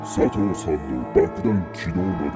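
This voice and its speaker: A man playing a formal, emotionless death-game master in a Japanese radio drama, announcing in a calm, polite, detached way. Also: low-pitched, slow pace. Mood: cold formal. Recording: long hall reverb over music.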